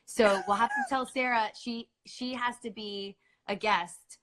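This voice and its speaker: A woman's voice: on the high side, steady tone, a little slow, a bit bright. A middle-aged woman hosting a book club, talking in a chatty, playful way with lots of fillers. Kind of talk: casual chat.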